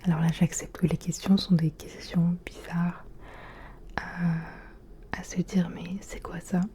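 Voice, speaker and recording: Slow, dark-toned whispering woman. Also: lowish voice, level tone. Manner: soft close whisper, gentle questions, hesitant fillers. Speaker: ASMR creator. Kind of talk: ASMR whispering to the listener.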